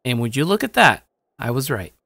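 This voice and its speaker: A man's voice: medium pitch, big pitch swings, brisk, vocal fry, sharp tone, no room sound, heavily acted. A young man playing a shy but slightly cocky gamer who narrates his day in a casual, musing way, like an anime-style audio drama. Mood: musing, upbeat. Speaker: narrator and lead character. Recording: dry studio voice over music. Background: music.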